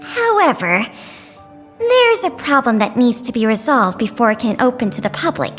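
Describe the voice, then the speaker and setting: A woman's voice: on the high side, very animated, normal speed. A woman voicing an earnest, friendly young tour guide in a video game, polite and eager to explain. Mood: eager, explanatory. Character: earnest, friendly young woman guiding visitors around a museum. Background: faint background music.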